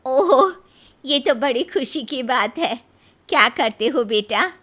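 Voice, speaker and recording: A woman's voice: sits high, expressive, slow, a bit bright, voice pushed up, heavily acted. A woman voicing a kindly but firm mother-in-law in a moral story, with a motherly, insistent manner. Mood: pleased. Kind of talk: animated moral-story acting.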